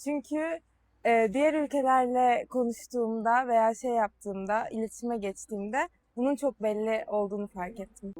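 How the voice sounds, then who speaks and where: A woman's voice: a little high, normal speed, a little mellow, dry recording. A 21-year-old woman from Turkey answers an interview earnestly, with some hesitation. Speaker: exchange participant.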